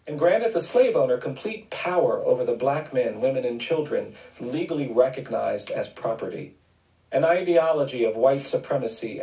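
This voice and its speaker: A man reading a scripted documentary narration in a grave, measured way. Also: mid-range voice, creaky, warm tone. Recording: roomy, distant video playback.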